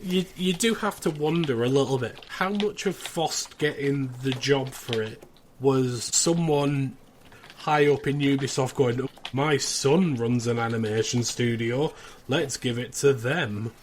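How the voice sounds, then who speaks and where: A man's voice: mid-range voice, measured pace, a little hiss or hum. A man hosting a podcast in casual, conversational discussion, reviewing as he goes. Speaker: podcast host.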